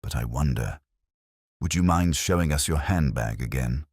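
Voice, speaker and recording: A man playing a calm, shrewd police inspector in a mystery story, gentle and measured in manner. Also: very deep voice, breathy edge, no room sound, clean studio sound, exaggerated voice. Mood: probing.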